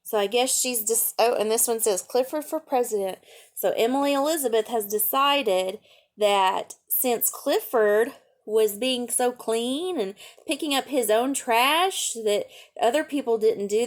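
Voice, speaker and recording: A woman reading aloud to children as a storytime teacher, gently explaining and asking questions along the way. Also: fairly high pitch, animated, a little slow.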